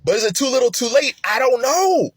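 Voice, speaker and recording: A man casually sharing his film awards predictions, with an animated manner, laughing often and swinging from excited highs to low mutters. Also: fairly quick, slightly rough, dry recording, clean studio sound. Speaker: film commentator. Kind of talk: casual spoken commentary.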